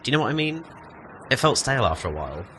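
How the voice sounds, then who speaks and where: A man's voice: medium pitch, big pitch swings, racing, fairly bright tone, slight background noise. A man playing a laid-back, modest young former musician in an audio play, talking casually and self-deprecatingly. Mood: modest, matter-of-fact. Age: young adult. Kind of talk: naturalistic audio play dialogue.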